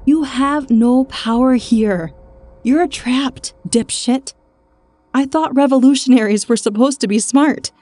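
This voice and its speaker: Brief: woman reading bitter older spinster, profane, biting, raised and sharp. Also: fairly high pitch, normal speed, few pauses, dry recording, close to an everyday voice. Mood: mocking. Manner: profane, cutting, snapping.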